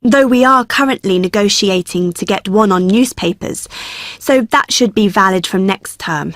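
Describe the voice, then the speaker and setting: An actress playing a helpful student union worker, explaining forms to a new student in a scripted exam dialogue. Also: female voice, medium pitch, normal speed, a bit bright, no room sound, studio quality, lightly acted. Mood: friendly. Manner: helpful, explanatory, occasionally laughing. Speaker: student union staff member.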